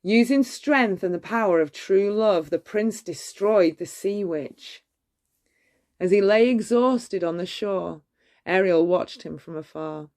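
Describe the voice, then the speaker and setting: A woman reading a bedtime story aloud in an expressive, measured way. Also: medium pitch.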